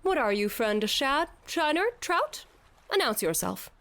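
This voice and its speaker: A woman's voice: high-pitched, very animated, measured pace, a bit bright, exaggerated voice. An actress playing a dazed, theatrical woman who declaims in a grandiose mock-Shakespearean way in an audio drama. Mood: addled, grandiose. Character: dazed theatrical woman raving as Hamlet to a fish head. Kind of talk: scripted audio drama acting.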